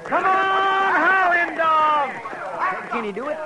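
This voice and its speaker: A man playing an excitable, folksy American frontier sidekick who exclaims eagerly in a 1950s radio western. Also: extremely high pitch, slow pace, exaggerated voice. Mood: excited. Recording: very noisy old radio recording.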